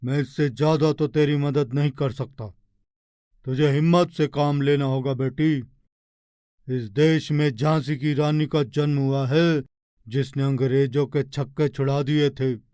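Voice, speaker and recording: A man playing a kindly old wandering beggar in a moral story, humble and encouraging in a deliberate, exhorting way. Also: medium pitch, dark tone, clear, resonant tone, dry recording, studio quality, lightly acted. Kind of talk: animated moral story dialogue in Hindi.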